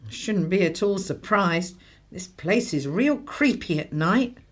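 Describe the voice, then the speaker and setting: A woman's voice: fairly low pitch, expressive, unhurried. An actress playing a harried, sardonic English mother with a dry, scolding manner in a radio drama. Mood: weary, scolding. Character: harried, sardonic working-class mother of a young boy.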